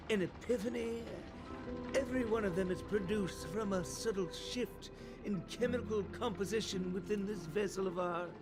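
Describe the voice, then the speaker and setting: Calm preaching lecturer, high voice, music behind. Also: male voice. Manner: lecturing, measured. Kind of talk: video game voice acting. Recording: game audio with clear music.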